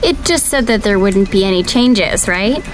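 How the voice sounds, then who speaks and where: An actress playing an earnest young female government video analyst who speaks with calm customer-service politeness. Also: medium pitch, moderate pace, faint music behind, close to an everyday voice. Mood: uneasy. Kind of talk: audio drama acting.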